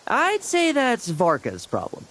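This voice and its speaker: A man's voice: very high-pitched, wildly swooping pitch, a little slow, fairly bright tone, slight background noise, heavily acted. A man playing a breezy, teasing younger knight in a video game, with a wry and casual delivery. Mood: amused, teasing.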